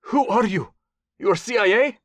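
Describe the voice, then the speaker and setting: A wounded warlord taken captive in an audiobook thriller. His thick foreign accent rides on a voice pushed high and swinging widely, snarling with defiance and desperate pleading. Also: expressive, a little slow, no echo. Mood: agitated, frantic. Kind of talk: audiobook character voice.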